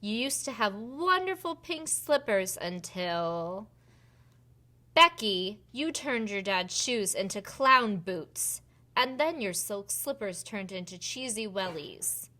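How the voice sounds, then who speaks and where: A woman voicing a kind but firm head fairy in a picture book, deliberate and gently scolding. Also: medium pitch, lively intonation. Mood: gently chiding. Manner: deliberate, repetitive, chiding.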